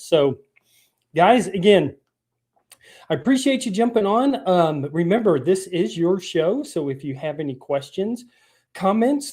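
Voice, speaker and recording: Male live-stream host with a lingering cough, slightly froggy, on the higher side, rambling with fillers. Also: a little mellow. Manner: rambling, with many ums and restarts. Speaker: fishing show host. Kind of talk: live stream show talk.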